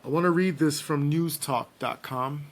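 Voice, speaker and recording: A man hosts a casual podcast in a slightly raised register. His voice is a little hoarse and scratchy, and his delivery is playful and jokey as he moves from banter into reading the news. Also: fairly high pitch, normal speed. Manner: playful, joking, gives shout-outs. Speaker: podcast host.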